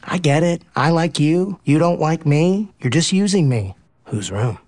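Male love interest, rom-com audio drama: cocky, none-too-bright athlete, nonchalant and earnest. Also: a little high, expressive, a little slow. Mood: casual. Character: easygoing, dim, cocky male athlete, womanizer. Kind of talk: romantic comedy audio drama acting.

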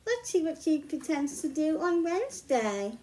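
A middle-aged woman reading picture books aloud to young children, explaining slowly and clearly at home. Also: high-pitched, big pitch swings, mellow. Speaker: children's librarian. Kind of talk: storytime read-aloud for kids.

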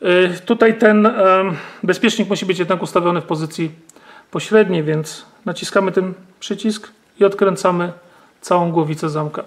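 A man's voice: high-pitched, moderate pace. A man, demonstrating and explaining a rifle step by step, with many fillers and tag questions. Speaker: gun channel host. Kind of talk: hands-on firearm demonstration.

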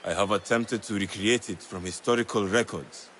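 A man's voice: fairly low pitch, moderate pace, a bit bright, slight background noise, natural voice. Man playing a learned, formal scholar. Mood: thoughtful, instructive. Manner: instructive, formal. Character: learned, devout male scholar of an assassin order. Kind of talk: dramatic game cutscene acting.

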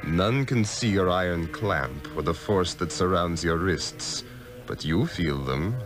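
An actor playing a scheming, arrogant sorcerer's apprentice in a radio drama, with a pompous, theatrical delivery. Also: male voice, low voice, expressive, over music. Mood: gloating, menacing. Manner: pompous, theatrical, drawn-out incantations. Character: scheming, arrogant male sorcerer's pupil, villain. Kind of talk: 1960s-era radio drama acting.

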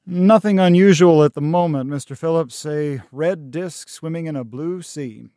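A man performs a self-assured professor of astronomy for an old radio play. His voice sits a little high, with measured scholarly phrasing and a dismissive certainty. The recording is dry and close. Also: warm tone, no echo, lightly acted. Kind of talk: 1930s radio drama acting.

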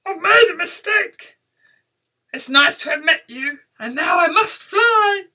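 A woman's voice: low voice, big pitch swings, gravelly, sharp tone, breathy edge, voice pushed down, full character voice. A woman voicing a mean, greedy storybook dragon that is also a coward, for children. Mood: frightened, apologetic. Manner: slow, menacing, then spluttering when scared. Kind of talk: children's picture-book read-aloud.

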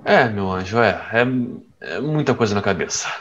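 A man's voice: lowish voice, expressive, moderate pace, fairly bright tone, dull audio. A man playing a troubled, restless boyfriend in an audio drama, speaking hesitantly and evasively. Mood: uneasy. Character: troubled, restless man confiding in his girlfriend.

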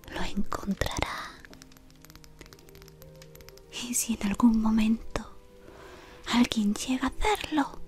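Slow whispering woman, devoted servant. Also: on the high side. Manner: soft devoted whisper, deferential. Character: devoted female servant of a dark lord. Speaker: ASMR creator. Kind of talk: ASMR roleplay. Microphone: close to camera.

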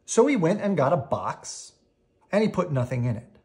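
A storybook reader giving an expressive bedtime read-aloud in a theatrical, playful way with pauses. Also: mid-range voice.

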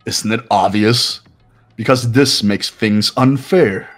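A man's voice: lowish voice, slow. A man voicing a haughty, cold young man in a video game, condescending and scornful. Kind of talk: amateur video game voice-over. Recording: background music throughout.

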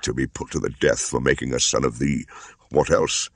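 A man's voice: low voice, animated, quick pace, fairly bright tone, no echo. A man voicing a sullen village husband.

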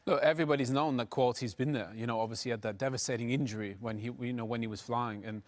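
A man in his forties talks through a football match as a studio pundit, rattling along at a brisk clip. His delivery is conversational and filled with little fillers. He sits on a lapel mic in a television studio. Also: mid-range voice, steady tone. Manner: quick, conversational, filler-heavy. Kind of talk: post-match football analysis.

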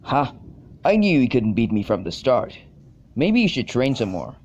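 A man's voice: mid-range voice, very animated, moderate pace, a little mellow, dull audio. A man voicing a brash young male action hero in an amateur cartoon. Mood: cocky, bantering. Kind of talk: amateur animated cartoon voice acting.

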